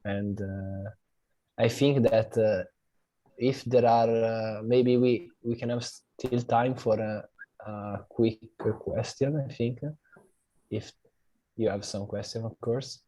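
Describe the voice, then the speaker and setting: A man moderating an online seminar, thanking the speaker and inviting questions in a halting way with many fillers, over a video call. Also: on the low side, fairly even intonation, dark tone, no room sound, rough recording. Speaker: session moderator.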